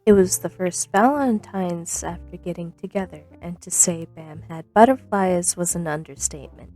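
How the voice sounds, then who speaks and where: A woman's voice: lowish voice, moderate pace, mellow, faint music behind. A woman speaking informally and expressively as an amateur narrator of her own fan fiction. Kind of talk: informal intro and expressive storybook-style reading.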